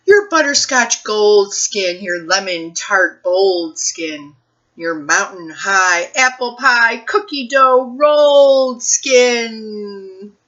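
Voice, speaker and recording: A woman hosts a storytime for young children and their families. Her voice is bright and forward, with an expressive, unhurried delivery. The recording is slightly dull. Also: medium pitch. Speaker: children's librarian hosting storytime. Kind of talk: picture book read-aloud and talk to families.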